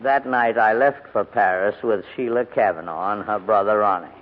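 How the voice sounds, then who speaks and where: A man acting an elderly, flustered English gentleman in 1940s radio drama, with a fussy, formal, sometimes stammering delivery. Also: fairly low pitch, normal speed, over loud music, close to an everyday voice. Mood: narrating, composed. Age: older. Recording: tinny, noisy old 1940s radio recording.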